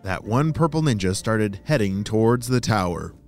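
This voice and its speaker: A man hosting a children's story podcast, narrating in an animated, exclamatory way and speaking straight to young listeners. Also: on the low side, moderate pace, faint music behind.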